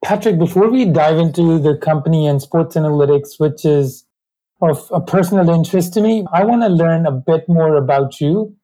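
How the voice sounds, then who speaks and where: Warm-voiced host introducing a guest. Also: male voice, fairly high pitch, low-quality recording. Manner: measured, formal, slightly uneven grammar. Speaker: podcast host. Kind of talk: scripted intro and interview questions.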